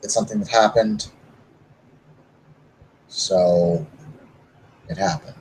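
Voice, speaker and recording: A man's voice: very animated, slow pace, vocal fry, darkish tone, a little hiss or hum, full character voice, poor audio. A man playing Emmet, a modest, unsure young werewolf, speaking hesitantly in a tabletop roleplay. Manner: modest, hesitant, low-key. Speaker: player character.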